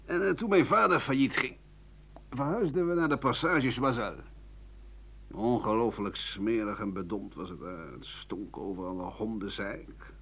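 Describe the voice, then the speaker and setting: An older, embittered man of letters in a radio drama monologue. His voice is low and rough-edged, rambling from muttering to sardonic declaiming. The recording is somewhat dull. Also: fairly bright tone. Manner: rambling, bitter, sardonic, with muttering and dry chuckles. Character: embittered ageing French writer-doctor recalling his wretched life. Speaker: actor in a radio play.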